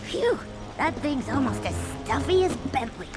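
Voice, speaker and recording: An actress playing a smug, brainy, greedy young villainess in a cartoon game, sly and condescending. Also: female voice, sits high, big pitch swings, a little slow, loud music behind the voice. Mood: relieved, catty. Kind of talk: cartoon video game acting.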